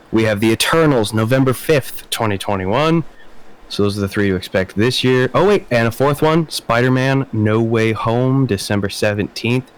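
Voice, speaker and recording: A man's voice: fairly low pitch, low-quality recording. A young man co-hosting a casual movie podcast, upbeat and rattling off lists of release dates. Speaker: podcast co-host.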